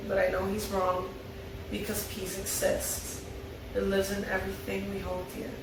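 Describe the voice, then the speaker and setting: A woman's voice: medium pitch, monotone, moderate pace, vocal fry, background noise. A woman speaking as a fan, reading script lines aloud or chatting casually. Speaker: fan table reader and commentator. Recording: very echoey, far from mic, music behind.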